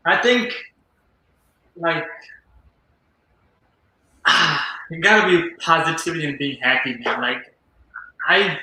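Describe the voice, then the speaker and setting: A man's voice: on the high side, sharp tone. A teenage man hosting a casual live group chat, earnest and upbeat, talking about positivity with lots of "like" and "you know". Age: teens. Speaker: livestream host. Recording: very echoey room.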